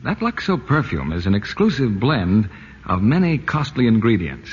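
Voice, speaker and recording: A man announcing a scripted 1940s radio commercial, smooth and salesy. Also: fairly low pitch, very animated, moderate pace, muffled recording, slight background noise. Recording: old radio broadcast, echoey.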